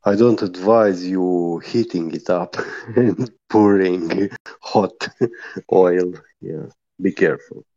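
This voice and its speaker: A man's voice: deep voice, takes it slowly, dark tone, no room sound. A man patiently explaining things in casual chat, with frequent hesitations, on a slightly muffled lo-fi recording.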